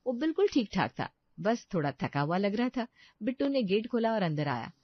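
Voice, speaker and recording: A woman's voice: medium pitch, sing-song, fairly quick, dry recording, muffled recording. A woman narrating a scripted story.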